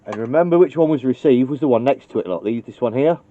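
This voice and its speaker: A man's voice: medium pitch, lively intonation, fast talker, slight background noise. A man casually demonstrating how to wire a radio, thinking aloud and joking at his own expense. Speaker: CB radio technician. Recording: dull lo-fi recording.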